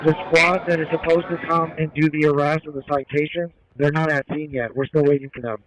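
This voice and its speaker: A man's voice: fairly high pitch, flat delivery, fairly quick, highs cut off. A man reporting status as a field police officer over a police radio.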